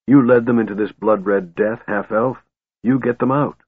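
A man voicing a frail, cold male mage in a storybook, with a soft, shattered whisper and calm, deliberate menace. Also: lowish voice, husky, no echo, phone-quality sound. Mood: cold, dismissive.

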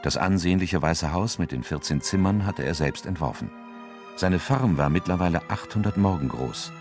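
Deep-voiced man narrating over music. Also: normal speed. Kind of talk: scripted literary narration.

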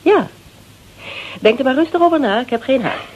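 A woman's voice: high-pitched, lively intonation, normal speed, a little mellow, rough recording. An actress playing a secretive, tough, self-assured female snoop with a wry, probing manner in a radio drama. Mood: menacing, calm. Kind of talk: Dutch radio drama acting.

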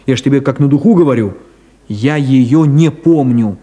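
A man's voice: mid-range voice, moderate pace, mellow, lightly acted. An actor playing a cynical, detached middle-aged man in a 1970s Soviet radio play, with an ironic, clipped theatrical delivery. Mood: defensive, irritated.

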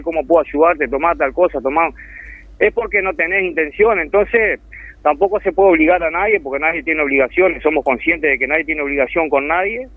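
Uruguayan guy phoning in to radio, earnest rambly, slightly high voice, thin tinny line. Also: dry recording. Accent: Uruguayan Spanish. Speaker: phone-in guest, charity volunteer. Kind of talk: casual radio interview over the phone. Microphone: telephone. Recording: tinny dry phone line.

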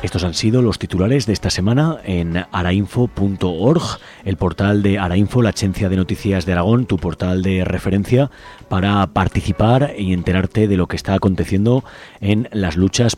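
Low-pitched Spanish man reading radio headlines. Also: a little mellow. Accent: Spanish, from Spain. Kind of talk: community radio news headlines. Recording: music bed behind voice. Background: background music.

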